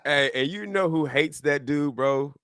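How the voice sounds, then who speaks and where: A man co-hosting a comedy radio show, excitable and quoting movie scenes in put-on voices. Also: on the high side, a little slow, smooth, no echo, studio quality. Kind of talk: casual comedy radio banter.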